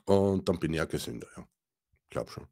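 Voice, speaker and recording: A man's voice: sits low, brisk, vocal fry, warm tone. A man co-hosting a spiritual podcast, rambling in colloquial talk with many restarts. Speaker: podcast co-host. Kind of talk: casual spiritual chat.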